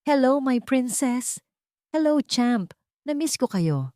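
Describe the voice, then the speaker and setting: Jolly, relaxed uncle in an audio story, acted by a woman, Filipino accent, bouncy delivery, dry sound. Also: high voice, takes it slowly, no echo, pitched above the performer's own voice, full character voice. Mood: playful. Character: cheerful, easygoing man, a doting uncle.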